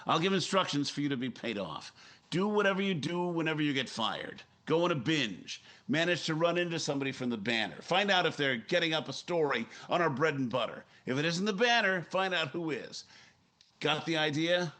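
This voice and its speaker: An actor playing a brisk, commanding newspaper editor in a 1950s-style radio drama, crisp and authoritative. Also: male voice, fairly high pitch, animated, sharp tone. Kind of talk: 1950s radio drama acting with first-person narration.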